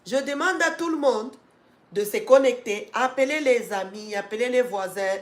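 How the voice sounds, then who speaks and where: A woman's voice: a little high, normal speed. Congested woman host, live-stream talk to viewers, filler-heavy, urgent at times, echoey room. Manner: talks with many fillers and restarts, grows emphatic and urging. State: congested with a cold. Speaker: host of an educational channel.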